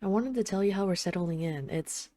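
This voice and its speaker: A woman's voice: medium pitch, brisk, no echo, lightly acted. A woman playing an affectionate, wry mafia boss who misses her partner, speaking in an intimate, conversational roleplay monologue like a voicemail. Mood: tender, sheepish. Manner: conversational, affectionate, gently self-deprecating, wry. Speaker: audio roleplay voice actor.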